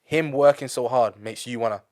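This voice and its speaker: A man's voice: medium pitch, normal speed, darkish tone. A man hosts a casual podcast interview. He encourages his guest and asks follow-up questions. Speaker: podcast host.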